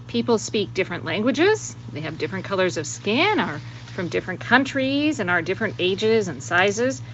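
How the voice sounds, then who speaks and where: A woman giving a gentle children's talk outdoors, explaining things in a clear, teacherly way. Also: medium pitch, highs cut off, a little hiss or hum. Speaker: children's story presenter at church. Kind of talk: children's lesson about her chickens. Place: outdoors with chickens in grass.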